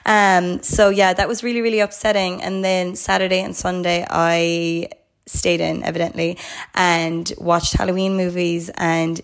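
A young woman co-hosting a podcast, her voice hoarse, chatting upbeat and casual. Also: lowish voice, flat delivery, unhurried, rough recording. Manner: chatty, upbeat, lots of "like" and "absolutely". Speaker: podcast co-host. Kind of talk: casual chat.

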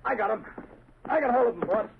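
High-pitched dim gangster henchman. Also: fast. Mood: excited. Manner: uneducated slangy gangster talk, excitable. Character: dim, eager, obedient male gangster henchman. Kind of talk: 1930s radio drama acting. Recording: tinny, noisy 1930s radio recording.

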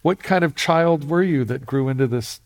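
A man's voice: on the high side, moderate pace. A man hosting an interview, asking curious follow-up questions in short prompts. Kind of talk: casual interview.

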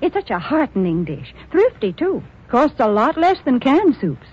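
A woman's voice: sits high, big pitch swings, normal speed, a little mellow, breathy edge. A woman reading a 1940s radio advertisement as a chirpy spokeswoman. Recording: muffled old radio recording.

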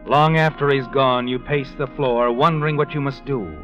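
A man's voice: medium pitch, steady tone, normal speed, fairly bright tone, close to an everyday voice. A male actor playing a knowing, insinuating narrator who addresses the heroine in dramatic, rhetorical old radio style. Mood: knowing, suspenseful. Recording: muffled vintage radio with music.

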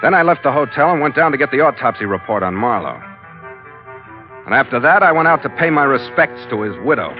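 An actor playing a tough, wry, loyal ship's skipper in a hard-boiled classic radio drama. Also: male voice, medium pitch, big pitch swings, fairly quick, close to an everyday voice. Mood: grim narration. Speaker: lead actor and first-person narrator. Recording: dull vintage radio recording with music.

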